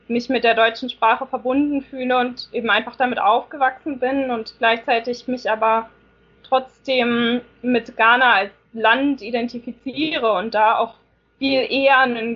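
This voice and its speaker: A woman giving a thoughtful, hesitant contribution to a discussion, with frequent fillers. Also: fairly high pitch. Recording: dull lo-fi recording.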